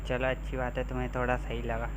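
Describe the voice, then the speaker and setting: Fast-talking Indian man chatting on stream. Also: medium pitch, monotone. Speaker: game live streamer. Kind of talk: casual chat with stream viewers. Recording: clear background hum and noise.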